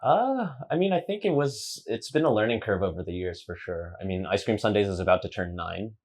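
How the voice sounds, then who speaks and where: Low-voiced relaxed man in his thirties. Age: 30s. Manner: relaxed, measured, many fillers. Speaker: festival co-founder, DJ. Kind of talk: casual interview chat.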